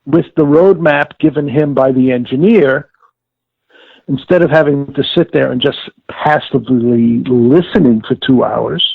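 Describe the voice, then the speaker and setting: An older man being interviewed, explaining and reminiscing in a deliberate, halting way with formal wording and self-corrections, in a gravelly, husky voice. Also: mid-range voice. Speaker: interviewee, former audio producer-director. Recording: tinny phone-like line with room echo.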